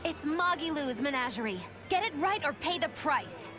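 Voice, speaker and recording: A theatrical young female magician, high in pitch, with mocking airs. She speaks grandly and slyly, in anime game style, over light music. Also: animated, moderate pace. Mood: haughty, sly. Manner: grandiose, teasing, sardonic.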